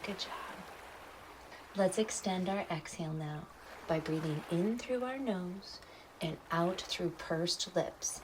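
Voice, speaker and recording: A woman narrating a guided meditation in a soothing, instructional way, leaving pauses between short phrases. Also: fairly low pitch, noisy background.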